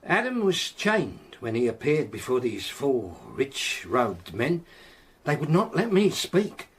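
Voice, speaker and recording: An actor playing a stern, grey-haired Bible preacher in a period radio drama, solemn, righteous and fervent. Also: male voice, mid-range voice, big pitch swings, slow, slightly rough, heavily acted. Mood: grave, indignant.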